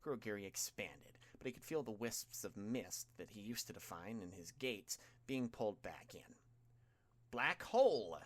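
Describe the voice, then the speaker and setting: Low-voiced man narrating fiction. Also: expressive, normal speed, few pauses. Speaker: audiobook narrator. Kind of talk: scripted fiction narration, expressive.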